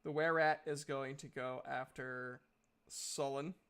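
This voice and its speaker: A man acting as game master in a casual tabletop roleplaying session, thinking aloud in a halting way as he works out dice and rules. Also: mid-range voice, fairly even intonation, fairly bright tone.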